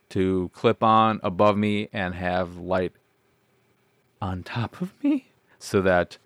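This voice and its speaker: A man with a low, deep voice chats on his own as a podcast host. He rambles, pokes fun at himself and swears now and then. The sound is dry and close, with no room around it. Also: dry recording. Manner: rambling, self-deprecating, swears casually. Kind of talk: casual solo podcast chat.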